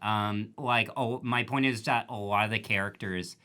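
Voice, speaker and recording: Male podcast co-host, bright tone, skeptical and argumentative. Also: on the low side, steady tone, moderate pace. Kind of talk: casual review chat.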